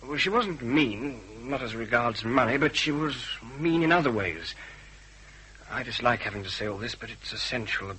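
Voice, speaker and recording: A man's voice: mid-range voice, expressive, normal speed, sharp tone, husky, rough recording. A man playing an anxious, well-bred British husband in a radio drama, formal and stiff as he justifies himself with some hesitation. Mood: earnest, defensive. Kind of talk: radio detective drama acting.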